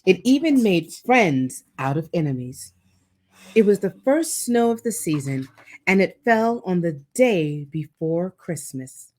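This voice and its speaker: A woman reading a children's picture book aloud as a storytime host, expressive and deliberate for a young listener. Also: on the low side.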